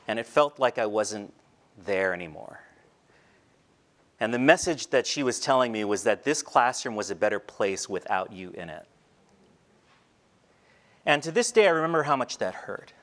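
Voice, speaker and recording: A middle-aged teacher telling personal stories in a talk on a large indoor stage, shifting between quiet reflective moments and light humor. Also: male voice, mid-range voice. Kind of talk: storytelling keynote talk. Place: large stage before a seated audience, indoors. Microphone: headset mic.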